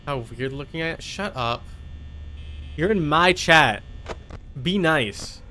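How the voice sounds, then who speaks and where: A man's voice: on the high side, animated, slow, pushed and bright, a little hiss or hum. A man doing casual, chatty live gameplay commentary as a streamer, playfully exasperated with his chat.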